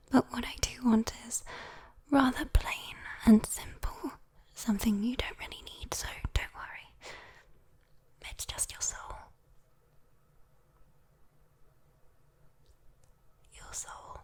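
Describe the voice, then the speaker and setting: A woman's voice: on the high side, a little mellow, breathy edge. British woman playing a whispering, sweetly teasing demon girl. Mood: coy, teasing. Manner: whispered, coaxing, soothing, lingering on words. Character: sweet, teasing female demon coaxing a sleeper for their soul. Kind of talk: ASMR roleplay.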